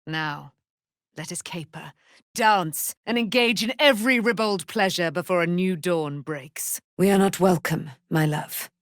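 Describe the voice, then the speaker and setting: A woman playing a stately, slow-spoken immortal warrior. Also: fairly low pitch, no echo, clean studio sound, lightly acted. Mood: fond. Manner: grand, archaic, declamatory diction. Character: proud, righteous immortal warrior daughter of a moon goddess. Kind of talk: theatrical fantasy video game voice acting.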